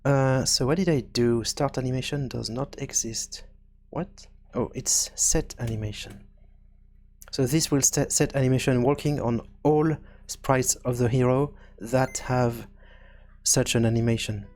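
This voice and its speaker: A man hosting a casual screencast programming tutorial, thinking aloud in a halting way and often repeating words as he goes. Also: medium pitch, fairly even intonation, a little mellow. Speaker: tutorial host and game developer.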